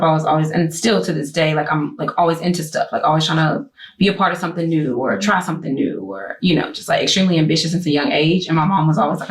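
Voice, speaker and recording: A woman of thirty-two talks in a casual group discussion. Her voice sits a little low. She speaks with eager enthusiasm, scattering "like" through her sentences. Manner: enthusiastic, with many "like"s.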